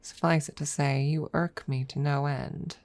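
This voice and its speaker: Woman as a low-voiced, haughty dragon rival. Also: fairly even intonation, darkish tone. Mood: haughty, accusing. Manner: haughty, halting, dropping into close whispers. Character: haughty centuries-old dragon rival, secretly smitten. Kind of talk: ASMR roleplay. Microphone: close microphone.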